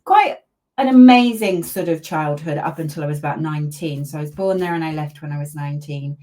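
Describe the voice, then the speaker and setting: Older woman with a low voice, grew up in Malaysia, relaxed chatty interview guest who laughs easily. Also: a little fast. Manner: chatty, warm laughs, many fillers. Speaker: parenting coach, interview guest. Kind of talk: casual interview over a video call.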